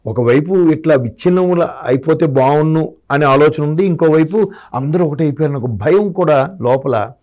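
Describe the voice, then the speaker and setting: Man analyst, slightly high voice. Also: moderate pace, warm tone. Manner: argues through rhetorical questions. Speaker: political analyst. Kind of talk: political commentary in an interview.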